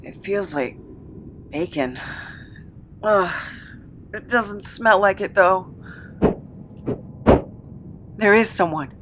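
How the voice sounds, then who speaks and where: A woman in an audio drama playing a weary, frightened captive who speaks haltingly and pleads. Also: medium pitch, lively intonation, a bit bright, a little hiss or hum. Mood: disoriented. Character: weary, frightened captive with a draining supernatural hunger.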